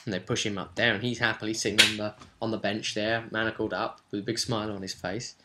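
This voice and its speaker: A man casually recapping a tabletop roleplaying session as game master, telling it in an animated storytelling way. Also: fairly low pitch, heavy background noise. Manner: animated storytelling, slipping into quick character quotes.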